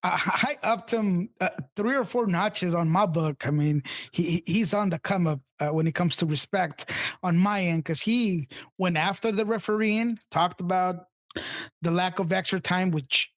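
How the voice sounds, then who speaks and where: Slightly raspy man, loose and emphatic. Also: high-pitched, normal speed. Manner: loose and emphatic, many fillers and restarts. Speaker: podcast co-host, team insider. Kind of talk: casual sports podcast chat.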